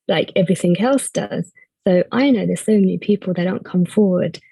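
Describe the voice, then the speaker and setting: Low, gravelly woman patient speaker. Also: warm tone, dry recording, poor audio. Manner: earnest, conversational, says "like" often. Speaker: patient panelist. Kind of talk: webinar panel discussion.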